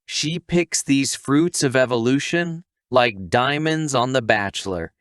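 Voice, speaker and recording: A man's voice: medium pitch, unhurried, clean tone, dry recording, clean studio sound. A man narrating a scripted comic story recap in a conversational, wisecracking way, with asides to the listener.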